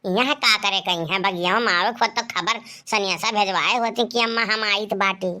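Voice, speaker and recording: A woman's voice: mid-range voice, normal speed, sharp tone, close to an everyday voice. A woman voicing a protective, scolding Awadhi-speaking village mother in a cartoon comedy, with a blunt, grumbling way of talking. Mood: doubtful, worried.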